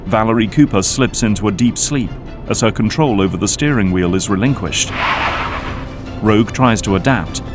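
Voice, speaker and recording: Low-voiced male drama narrator over music. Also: normal speed. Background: background music.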